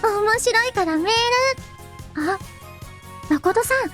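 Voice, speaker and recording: Anime girl, breezy and youthful, high-pitched, visual novel game with music. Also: lively intonation, normal speed, fairly bright tone. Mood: chatty, light. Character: girlish anime young women, cheerful, breezy, curious. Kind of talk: Japanese visual novel voice acting.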